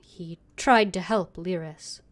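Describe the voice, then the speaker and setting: A woman's voice: fairly low pitch, slow. A woman game streamer, reading character dialogue aloud as she plays.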